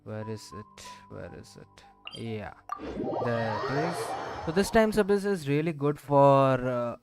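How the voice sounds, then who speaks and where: A man giving casual gameplay commentary as a streamer, thinking aloud in a rambling, hesitant way. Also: mid-range voice. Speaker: gaming streamer. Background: loud game music.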